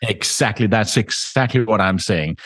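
A man talking casually about travel, halting and often repeating his words. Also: on the low side, a bit bright, slightly rough, no echo, clean studio sound. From Denmark.

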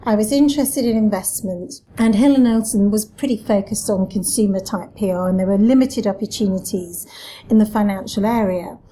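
A woman's voice: medium pitch, moderate pace, mellow, low-quality recording. A woman in her forties, a communications director answering interview questions in a chatty way with self-deprecating humour. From Wales. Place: office.

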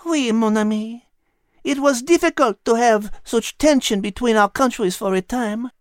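A man voicing a refined, gentle female French cat with a French accent, in a storybook narration. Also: extremely high pitch, normal speed, no echo, studio quality, pitched above the performer's own voice. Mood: wistful. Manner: genteel, soft-spoken, sprinkles French words.

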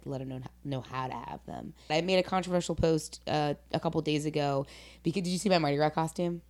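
A woman hosting a casual, philosophical conversation, speaking in an earnest, deliberate, opinionated way. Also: low-pitched.

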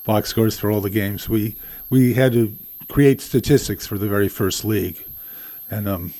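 An older man with a slightly low voice, speaking as a radio guest. He answers in a measured way, pausing with frequent ums and uhs, and sounds close and dry on the mic. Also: mellow, no room sound. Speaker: guest, baseball historian.

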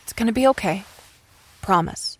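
An actress playing a caring, wry friend in a naturalistic audio drama, with a casual, teasing, reassuring way of talking. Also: female voice, medium pitch, lively intonation, normal speed, breathy edge, dry recording. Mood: tired, reassuring. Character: Natalie, caring, wry, sweary female friend.

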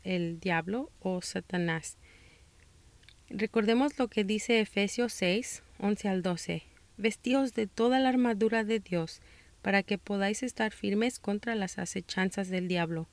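A Mexican-American woman from Texas hosts a faith-based parenting podcast. She reads from a script in a calm, measured voice and slows down for scripture. Her voice sits in a mid range, recorded close and dry with no room sound. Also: medium pitch, dry recording. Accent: Mexican-American Spanish, northern border and South Texas. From United States (Texas). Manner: calm, measured reading with slow scripture passages. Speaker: podcast host.

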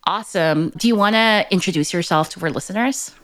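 A woman from the Philippines hosting a podcast interview, enthusiastic and expressive as she introduces her guest. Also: lowish voice, brisk. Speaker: podcast host. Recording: music bed behind voice.

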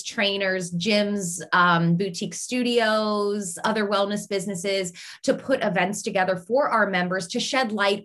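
A woman's voice: on the low side, a bit bright. A woman who owns a fitness business, speaking as a podcast guest in an earnest, explanatory way with frequent filler words. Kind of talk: interview answers.

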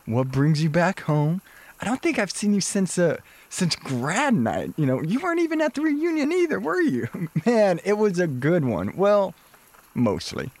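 An actor playing an effusive, laid-back hippie dude in an audio drama, gushing in drawn-out bro talk. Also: male voice, high voice, very animated, a little mellow, exaggerated voice. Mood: excited, effusive.

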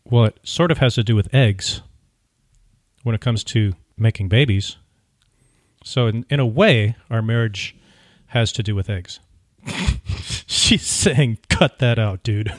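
A man co-hosting a podcast in casual conversation, speaking in halting, searching phrases. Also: on the low side, expressive, no echo, clean studio sound. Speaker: podcast co-host.

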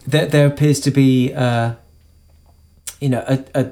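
A singer-songwriter from the UK, talking in an interview about his singing, with a high voice and halting speech full of restarts. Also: fairly even intonation, darkish tone. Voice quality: self-described high voice. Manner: halting, many restarts and repeated words. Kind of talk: conversational interview.

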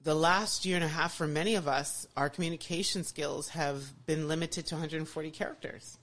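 A woman's voice: low voice, fairly even intonation, a little fast, fairly bright tone. A woman hosting a podcast, talking enthusiastically in casual chat with many restarts and "you know"s. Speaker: podcast host.

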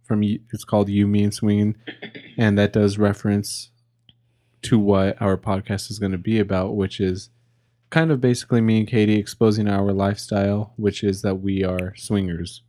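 A man's voice: fairly low pitch, level tone, normal speed, mellow, no echo. A young man in his early twenties co-hosting a casual podcast, a little drunk, rambling with fillers and restarts. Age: young adult. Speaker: podcast co-host.

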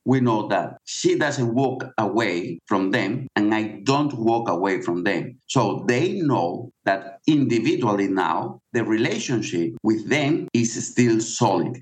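A man's voice: fairly low pitch, animated, takes it slowly, few pauses, low-quality recording. A middle-aged man who is not a native English speaker, answering interview questions as a guest author in an earnest, explanatory way.

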